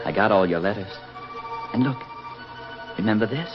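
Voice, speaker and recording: An actor playing an affable, lovesick young American soldier in 1940s radio style, folksy and ardent. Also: male voice, lowish voice, expressive, normal speed, close to an everyday voice. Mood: tender. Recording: dull 1940s radio recording, music behind.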